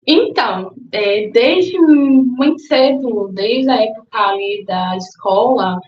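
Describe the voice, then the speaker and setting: A woman's voice: fairly high pitch, slow pace, slight background noise. A young woman from Salvador, Bahia, answering interview questions in a thoughtful way with some hesitation. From Salvador, Bahia, Brazil. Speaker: guest, book influencer. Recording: echoey, dull lo-fi recording.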